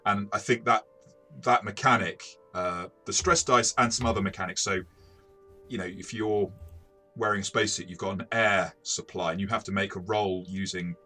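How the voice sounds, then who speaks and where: A man talking casually through a game review in a measured, explanatory way. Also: on the low side, steady tone, bright, forceful tone, soft background music. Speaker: tabletop game reviewer and game master.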